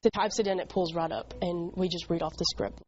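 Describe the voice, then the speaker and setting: A woman's voice: fairly low pitch, fairly even intonation, brisk, darkish tone, dull audio. An American woman who works as an emergency dispatcher, giving call instructions and plain interview talk.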